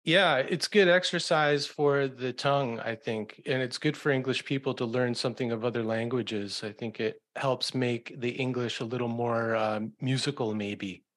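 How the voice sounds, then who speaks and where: A man's voice: medium pitch, moderate pace, hardly any pauses, fairly bright tone. Casual male podcast host, conversational.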